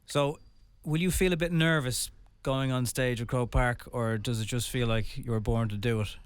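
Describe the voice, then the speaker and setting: A man's voice: mid-range voice, fairly even intonation, moderate pace, clean tone, dry recording. A man co-hosting a radio show, chatting in a playful, jokey way. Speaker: radio breakfast show co-host. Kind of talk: casual radio chat.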